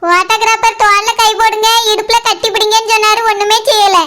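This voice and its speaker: A young cartoon village sister-in-law acted by a woman chatters in an extremely high, childlike-pitched voice. She has a Kanyakumari Tamil accent and a bossy but caring way of giving instructions. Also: little pitch movement, fast talker, sharp tone. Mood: bossy, fussing. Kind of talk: cartoon village drama dialogue.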